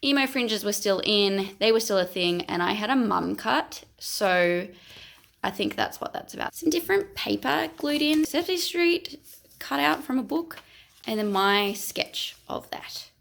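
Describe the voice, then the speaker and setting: A woman, chatting casually and self-mockingly as an art vlogger while going a little hoarse. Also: mid-range voice, animated, measured pace, fairly bright tone. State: losing her voice. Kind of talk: casual vlog commentary.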